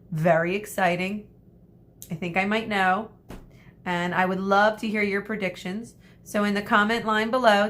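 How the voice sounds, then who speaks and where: A woman teacher reading a storybook aloud to students, adding explanatory asides in a deliberate way. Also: mid-range voice, a bit bright.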